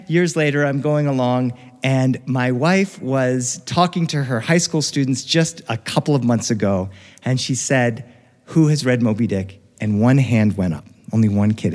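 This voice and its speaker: A middle-aged American man telling a first-person story live in an expressive, animated way with dramatic pauses. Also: mid-range voice. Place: reverberant studio, recorded live. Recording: live studio recording with long reverb.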